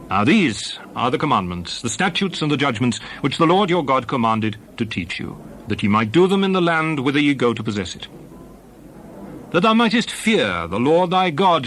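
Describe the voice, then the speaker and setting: A man playing a solemn prophet exhorting his people in a dramatized scripture reading, with measured, declamatory oratory in archaic English. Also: fairly high pitch, fairly bright tone, a little hiss or hum. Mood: solemn, instructing.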